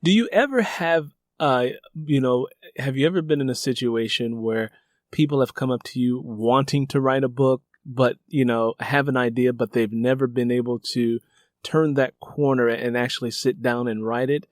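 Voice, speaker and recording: A man with a mid-pitched voice hosts a casual interview in a close, dry sound. He speaks conversationally and effusively, often repeating words and phrases for emphasis. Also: normal speed, no room sound. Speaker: interviewer, host and writer.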